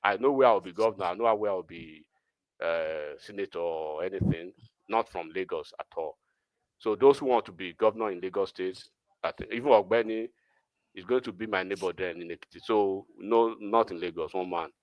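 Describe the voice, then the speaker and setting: A man's voice: deep voice, fairly quick, no room sound, low-quality recording. A Nigerian man with a Yoruba accent, hosting a casual political discussion and talking in long, rambling runs full of restarts. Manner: rambling, many restarts and fillers.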